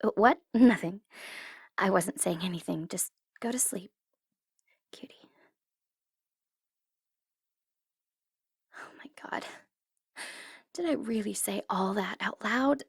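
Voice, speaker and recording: Female supervillain for ASMR audio drama, played by a woman: teasing, theatrical, easily flustered tsundere, measured pace, very dry intimate recording. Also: mid-range voice, slightly rough, no room sound.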